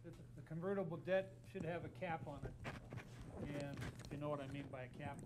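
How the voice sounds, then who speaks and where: A man's voice: on the high side, fairly quick, noisy background. A man speaking as a panelist in a live investor panel, rambling and correcting himself as he goes.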